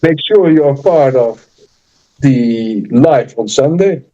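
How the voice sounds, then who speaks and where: A man with a Dutch accent hosting a casual live chat, talking in halting restarts and earnest promotion. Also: medium pitch, animated, mellow, lo-fi sound. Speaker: channel host and author.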